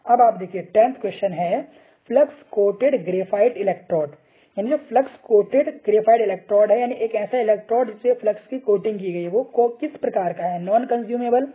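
A man's voice: high voice, normal speed, breathy edge. A male teacher patiently going through quiz questions and answers, reading each option aloud and mixing in English technical terms. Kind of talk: explaining quiz answers over slides. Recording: tinny phone-like sound.

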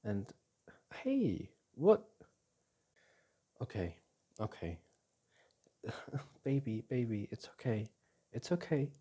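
A young man playing a caring, reassuring boyfriend in an intimate ASMR roleplay, speaking gently and haltingly with sighs and restarts. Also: mid-range voice, huge pitch swings, breathy edge, full character voice. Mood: soothing, worried. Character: caring, reassuring boyfriend comforting his upset partner. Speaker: audio roleplay performer.

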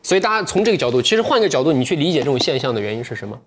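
A man's voice: mid-range voice, very fast, slight background noise. A young man hosting a livestream from an indoor studio, chatting to viewers, selling goods and talking about a book. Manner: lively, talks straight to viewers. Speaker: livestream sales host.

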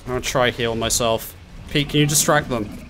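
A man's voice: medium pitch, steady tone, a little fast. A man playing a co-op video game, calling out play in a casual, blunt, teasing way with some swearing. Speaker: video game player in a co-op playthrough. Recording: game music behind the voice. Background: video game music.

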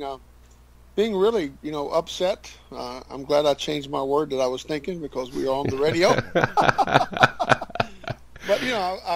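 Kentucky man in his forties, radio guest, earnest and halting with a slightly high voice. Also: poor audio. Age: 40s. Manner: earnest, halting, many fillers and restarts. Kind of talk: personal story in a radio interview.

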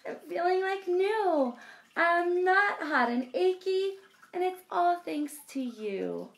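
A woman's voice: very high voice, expressive, takes it slowly, gravelly, pitched above the performer's own voice, heavily acted. A woman voicing a male bear in a picture book, who is sick and weak and then bounces back cheerful. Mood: joyful.

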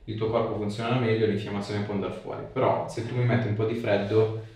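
A man's voice: on the low side, flat delivery, fast talker, a little mellow. A man, working as a chiropractor and giving short, casual hands-on directions to a patient. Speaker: chiropractor/osteopath. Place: small clinic treatment room, indoors. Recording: echoey room, distant mic, faint noise.

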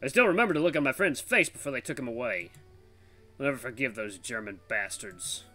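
A man's voice: medium pitch, expressive, brisk, sharp tone, soft background music. A man voicing a hearty, folksy tiger in his fifties with a British accent, in a visual novel read-aloud. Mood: bitter. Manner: folksy, hearty, calls people lad and mate. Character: cheerful chatty tiger man in his fifties, war refugee.

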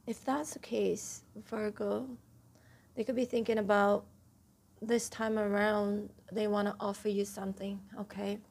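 A chatty woman lays out tarot cards at a home table and talks to her viewers, her voice a little stuffy. She leans on key words and keeps checking in with a quick "okay?". Her pitch sits in a middle range. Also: level tone, gravelly, darkish tone. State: slightly stuffy, clears throat. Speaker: tarot reader. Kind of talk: casual tarot reading to camera. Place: indoors at a table, home room.

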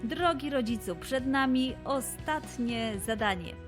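A woman narrating and hosting a children's story podcast, deliberately addressing young listeners. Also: high-pitched, lively intonation, over music.

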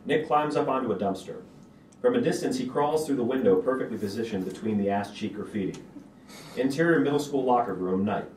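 A man's voice: mid-range voice, fairly quick, a little mellow. A man reading screenplay stage directions aloud as narrator at a table read. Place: stage of a small auditorium. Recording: roomy auditorium, distant mic, background noise.

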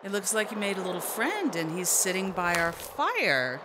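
An actress playing a wary, guarded, tough courier in a radio drama, with a dry, sardonic way of talking. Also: female voice, medium pitch, very animated, normal speed, background noise, natural voice.

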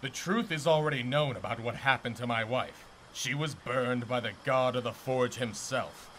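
Man playing a bright, forceful, scornful ancient Greek blacksmith. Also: medium pitch, normal speed, close to an everyday voice. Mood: angry, accusing. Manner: haughty, scornful, declamatory. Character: bitter, contemptuous older blacksmith, a widower in ancient Greece. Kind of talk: audio drama acting. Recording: noticeable background noise.

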